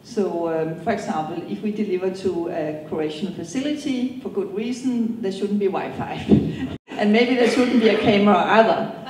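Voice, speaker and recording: A middle-aged woman with a Danish accent, answering interview questions on stage and often pausing on ums and restarts. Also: mid-range voice, a little mellow, slight background noise. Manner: hesitant, many ums and restarts. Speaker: company co-founder, guest. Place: event hall. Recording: echoey hall from far off.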